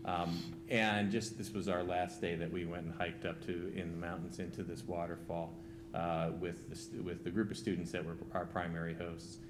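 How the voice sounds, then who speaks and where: A man giving an informal slide presentation as a trip leader, speaking haltingly and searching for his words. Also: deep voice, little pitch movement. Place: public school committee meeting. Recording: hum and loud noise behind voice.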